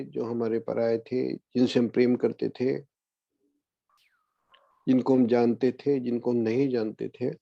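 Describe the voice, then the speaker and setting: Guy leading meditation, really slow with long pauses, dull dry recording. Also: mid-range voice, gravelly, warm tone, no room sound. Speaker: meditation guide. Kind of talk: guided meditation, spiritual discourse.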